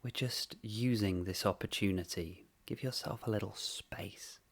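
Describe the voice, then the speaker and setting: A man leading a guided meditation in a gentle, unhurried way, speaking in short phrases with long pauses. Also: fairly low pitch, slightly rough.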